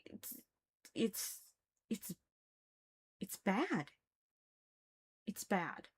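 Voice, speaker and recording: A woman giving casual, critical commentary, thinking aloud haltingly and repeating phrases for emphasis. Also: mid-range voice, big pitch swings, breathy edge. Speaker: reader commenting on a religious text.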